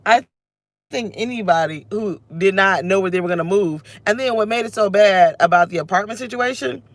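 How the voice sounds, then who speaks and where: A woman's voice: medium pitch, fairly bright tone, no echo. A woman in her thirties with a slightly scratchy voice rants into her phone from the driver's seat of her car. She talks in an emotional, tumbling way, restarting sentences and circling back as she vents. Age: 30s. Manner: venting, emotional, restless, self-interrupting. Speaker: vlogger. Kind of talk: unscripted personal vlog rant. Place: inside her parked car. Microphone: phone camera held close.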